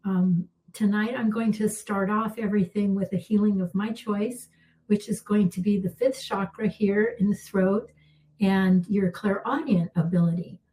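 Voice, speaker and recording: A middle-aged woman, a healer, leading a calm guided spiritual healing with unhurried talk and soft pauses, her voice slightly worn. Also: medium pitch, rough recording. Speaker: intuitive healer hosting a live session.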